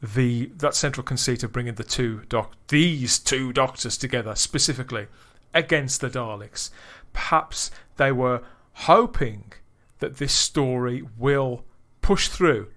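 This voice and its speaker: A man hosting a podcast discussion, talking in long rambling sentences full of restarts and getting louder when he gets excited. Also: mid-range voice. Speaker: podcast host.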